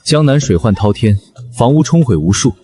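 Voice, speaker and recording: An actor playing a haughty, aloof chancellor in an animated costume drama, with cold, formal, disdainful delivery. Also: male voice, medium pitch, moderate pace, natural voice. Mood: cold defiance. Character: haughty, aloof male chancellor, secretly a rabbit spirit.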